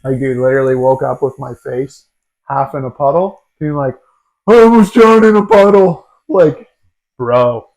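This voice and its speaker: A man in his forties talking loosely on a podcast, with a mid-range voice. He tells animated stories, swears freely and keeps saying "dude" and "man". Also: medium pitch, normal speed, rough recording. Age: 40s. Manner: swearing, animated storytelling, says dude a lot. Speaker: podcast host. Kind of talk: casual chat.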